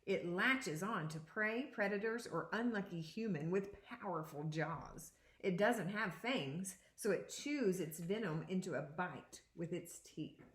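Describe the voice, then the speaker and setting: A woman reading a children's picture book aloud as a second-grade teacher, with deliberate, explanatory phrasing. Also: fairly low pitch. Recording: echoey room.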